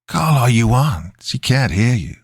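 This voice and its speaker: A man's voice: medium pitch, very animated, a little slow, no echo, clean studio sound, pitched above the performer's own voice, exaggerated voice. A man voicing a mocking, sadistic demon in a horror story, with a guttural, whispery voice and a taunting manner. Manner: taunting, voice shifting between growl, sing-song and breathy whisper.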